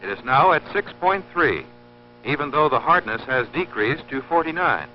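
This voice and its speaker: A man's voice: medium pitch, expressive, a little hiss or hum. Dull, humming old training-film narrator, man. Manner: measured, didactic, precise. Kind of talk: formal scripted instructional film narration.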